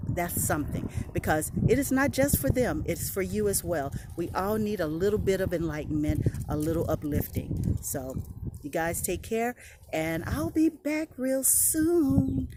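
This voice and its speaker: A woman's voice: fairly low pitch, heavy background noise. A middle-aged woman talking earnestly in a reflective personal vlog, recorded outdoors on a phone or camera, sniffling now and then. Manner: earnest, heartfelt, searching for words. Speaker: vlogger. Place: outdoors, airplane passing overhead.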